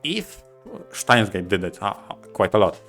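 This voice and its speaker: A man co-hosting a podcast, giving opinions casually in a halting way, repeating short phrases for emphasis. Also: fairly low pitch, lively intonation, fairly bright tone, faint music behind. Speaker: podcast co-host.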